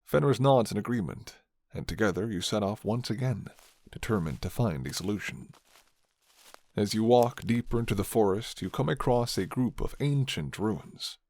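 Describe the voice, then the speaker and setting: A man narrating a scripted fantasy story in the second person, with measured, dramatic storytelling. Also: on the low side, animated, a little mellow.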